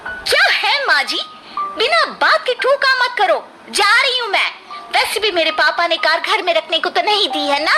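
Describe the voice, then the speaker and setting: A woman acts out a selfish young daughter-in-law in a cartoon family drama. Her voice is piercingly high and animated, curt and dismissive, with faint music underneath. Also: a little slow, full character voice. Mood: irritated. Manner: snappy, dismissive.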